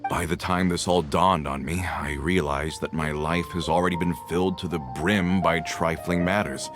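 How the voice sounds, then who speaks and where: A man's voice: sits low, fairly even intonation, moderate pace, lightly acted. An actor playing a tired, plain-spoken working man and father in a game, with faint music behind. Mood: weary. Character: weary working-class carpenter father, adult man. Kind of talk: game voice acting.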